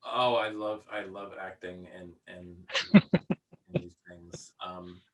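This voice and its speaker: A man's voice: deep voice, fairly even intonation, slow pace, a little mellow. A man chatting casually as an interview guest, enthusiastic and self-deprecating. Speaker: audio drama actor and sound editor, guest. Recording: slightly distant from the mic.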